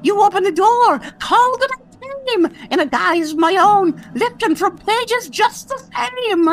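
A woman's voice: extremely high pitch, lively intonation, faint music behind, full character voice. A woman playing a taunting supernatural fey villain in a radio drama, speaking in riddling, incantatory phrases. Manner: riddling, incantatory, drawn-out rhymes. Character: taunting female fey villain, a living fiction turned god. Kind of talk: old-time radio drama acting.

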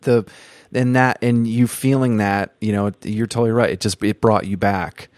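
Measured man hosting an interview. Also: on the low side, fairly even intonation, a little mellow, no echo, studio quality. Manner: thoughtful, measured, builds long reflective questions. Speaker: podcast host. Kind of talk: casual interview chat.

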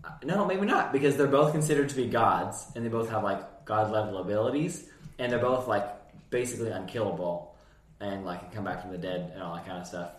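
A young man, a podcast co-host and worship leader, a little hoarse and raspy, chatting in a laid-back way and laughing often. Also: fairly low pitch, fairly quick. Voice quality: slightly raspy. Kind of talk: casual chat. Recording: roomy echo.

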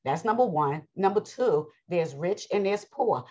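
Woman scripture teacher, low and husky, strained voice, emphatic sermon delivery, muffled recording. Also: lively intonation, unhurried, dark tone. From United States. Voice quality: raspy. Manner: emphatic, preachy, clears throat often. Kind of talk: bible lesson with commentary.

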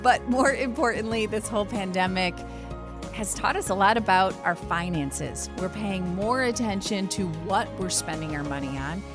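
Woman host, upbeat and brisk, faint music under her voice. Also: mid-range voice. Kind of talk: talk show hosting.

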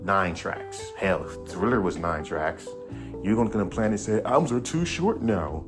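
A man in a casual podcast monologue, blunt and profane, talking in a fairly low, monotone voice. He rants with animated swings of emphasis. Music plays clearly underneath him. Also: measured pace. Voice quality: self-described monotone voice. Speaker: podcast host. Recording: music playing behind the voice.

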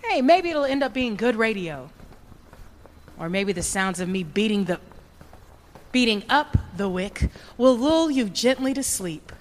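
A woman's voice: a little high, sing-song, unhurried. A fed-up late-night radio host, played by a woman in a radio drama. She is sarcastic and talks straight to her listeners.

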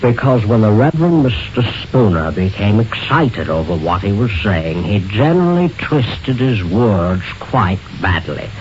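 A man with a low voice presents a scripted radio feature. He speaks slowly with very varied intonation, on a muffled old recording. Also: big pitch swings, darkish tone, slight background noise. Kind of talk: scripted narration, 1940s-50s radio.

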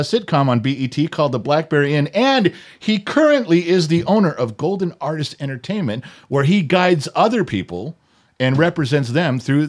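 Animated male podcast host, higher-pitched voice. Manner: jokey, informal, swearing. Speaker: interview show host. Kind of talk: casual comedy podcast interview. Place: studio.

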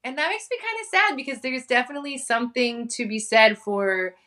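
A woman's voice: sits high, a little fast, sharp tone. A woman in her forties from Hawaii, talking as an interview guest in a chatty, casual way with many fillers and frequent laughter. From Hawaii, United States. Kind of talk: casual conversation.

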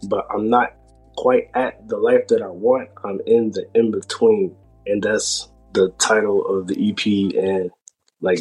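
An American man with a fairly deep, raspy voice gives a casual interview. His delivery is halting, full of pauses and searching for words. Faint music sits behind him. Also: rough recording. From Georgia, United States. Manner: halting, filler-heavy delivery with self-described speech impediment. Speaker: podcast guest, hip hop artist.

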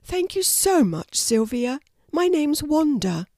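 Storybook casting: woman as an earnest wallaby mum, reassuring and helpful, higher-pitched with lively intonation. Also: takes it slowly, no room sound, studio quality, full character voice. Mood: friendly, helpful. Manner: motherly, earnest. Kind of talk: children's storybook character voice.

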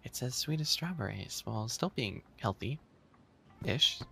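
A man playing a flustered, bookish animal-boy maid cafe manager in boyfriend ASMR roleplay, speaking softly and hesitantly with a teasing sweetness. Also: medium pitch, animated, slow, gravelly, slight background noise, full character voice. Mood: weary, sheepish.

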